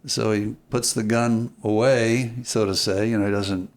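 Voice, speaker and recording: A man with a lowish voice tells stories as a guest in an interview. He is reflective and deliberate. He halts, restarts and repeats key phrases for emphasis. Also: fairly even intonation. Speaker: podcast guest, filmmaker.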